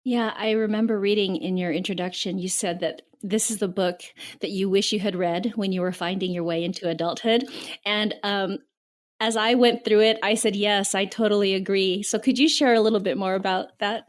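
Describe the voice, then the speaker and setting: Woman podcast host, mid-pitched, affirming, conversational interviewer. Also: fairly even intonation, moderate pace. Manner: warm, affirming questions with light laughs.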